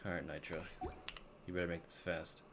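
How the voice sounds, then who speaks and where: A man's voice: low-pitched, little pitch movement, brisk, creaky, background noise. A man streaming a video game and chatting casually in slangy, informal language. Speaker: live streamer. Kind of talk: casual gaming stream chat.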